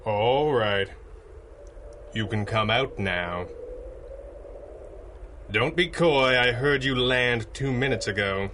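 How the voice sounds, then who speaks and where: An actor playing a commanding masked superhero of the 1930s in a radio drama, authoritative and dryly witty. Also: male voice, medium pitch, takes it slowly, long pauses, bright, forceful tone, slight background noise. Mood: brisk, dry. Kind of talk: radio drama acting in a pulp serial style.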